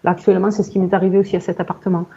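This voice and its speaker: A woman in her forties giving a halting personal account in conversation, searching for words and often repeating herself. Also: lowish voice, little pitch movement, creaky, warm tone, husky, highs cut off. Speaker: client in a hypnosis session interview.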